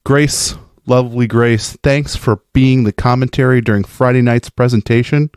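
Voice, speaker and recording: A man's voice: medium pitch, steady tone, moderate pace, a little mellow, smooth, no echo, studio quality. A man speaking informally as a podcaster, playful and effusive, giving an enthusiastic, geeky monologue. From American Midwest. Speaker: podcast host sending in a festival recap.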